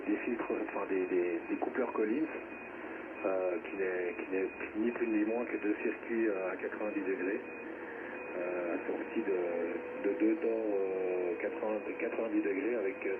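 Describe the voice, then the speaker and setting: Male ham operator, lowish pitch, hesitant technical explainer, very narrow tinny radio sound. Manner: hesitant, explanatory, spelling out in phonetic alphabet. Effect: radio transmission. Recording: tinny band-limited shortwave radio, loud static.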